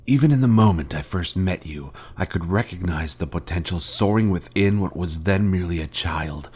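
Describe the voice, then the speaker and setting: An actor playing a menacing fallen Jedi master in a video game, speaking in a solemn, pompous, formal way as a cold former mentor. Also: male voice, sits low, moderate pace, a little mellow, breathy edge. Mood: solemn, reproachful. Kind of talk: scripted video game villain dialogue.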